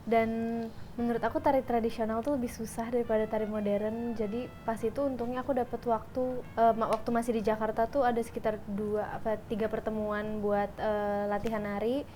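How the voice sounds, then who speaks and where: Chatty woman with a higher voice. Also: flat delivery, brisk, mellow, slight background noise. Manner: casual, chatty. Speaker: actor guest. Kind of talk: casual interview chat.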